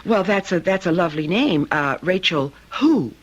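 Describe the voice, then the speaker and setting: A woman's voice: on the low side, lively intonation, moderate pace, slightly rough, lo-fi sound. An actress playing an inquisitive, flustered mother of a grown son in an old-time radio drama. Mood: probing. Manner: fussy, probing, flustered exclamations.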